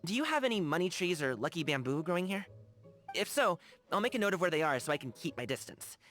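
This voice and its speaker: Game role: cheerful, earnest young guy, casual and slangy, very lively pitch, music behind. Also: fast. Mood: cheerful, eager. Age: young adult. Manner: casual, chatty, slangy, eager. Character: cheerful, earnest young male lion-dancer and tea lover. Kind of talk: animated video game character dialogue.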